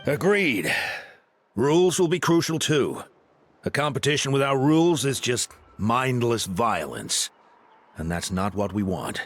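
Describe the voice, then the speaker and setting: A man's voice: mid-range voice, big pitch swings, a bit bright. An actor playing a firm, seasoned male warrior chief in a video game. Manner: firm, measured.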